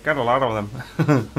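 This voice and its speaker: Male streamer, mid-pitch, chatty and hesitant, reads chat aloud, faint music bed. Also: fairly bright tone, rough recording. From Iceland. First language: Icelandic. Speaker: game streamer. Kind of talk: casual live-stream chat.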